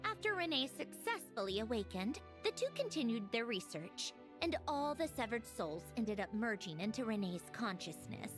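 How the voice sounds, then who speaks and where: An actress playing a calm, confident, faintly smug female agent in a game cutscene. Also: fairly high pitch. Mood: explaining. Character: cool, self-assured female Fatui agent. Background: game music.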